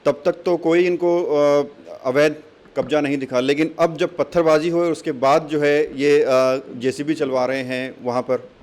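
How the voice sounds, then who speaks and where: A man's voice: a little high, steady tone, measured pace, darkish tone. A man working as a news reporter, putting probing questions and counterpoints in an interview.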